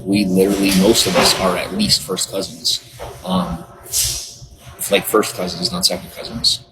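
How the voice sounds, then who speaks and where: A man's voice: deep voice, heavy background noise. A man chatting on a livestream, earnest and rambling, reading and answering viewers. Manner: rambling, earnest, many restarts. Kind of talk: casual livestream chat.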